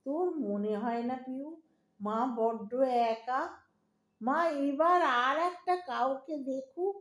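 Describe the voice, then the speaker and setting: A woman's voice: high voice, takes it slowly, reverberant. A woman playing a lonely, sentimental middle-aged Bengali mother in an audio drama, with a pleading, emotional delivery and a Bengali accent. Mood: wistful, tearful.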